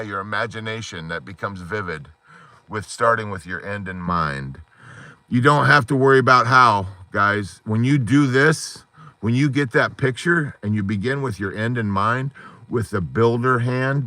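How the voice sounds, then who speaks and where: A man hosting a support group live stream, giving a fervent, rambling pep talk full of metaphors, his voice hoarse, from inside a parked car at night on a phone. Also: on the low side, sharp tone, no echo.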